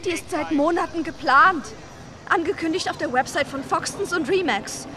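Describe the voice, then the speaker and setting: An excitable, nosy neighbor woman in a radio play. Her voice is high and she talks in a pushy, fussing way. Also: normal speed. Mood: agitated.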